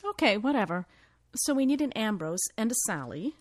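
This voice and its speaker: A woman's voice: fairly high pitch, big pitch swings, a little fast. An actress playing a picky but easygoing woman helping to run amateur auditions, in naturalistic drama dialogue. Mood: easygoing, tired.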